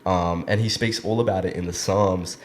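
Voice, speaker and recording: Male podcast host, earnest interviewer with lots of ums, lower voice, slight noise. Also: steady tone, moderate pace. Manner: earnest, many "um" fillers.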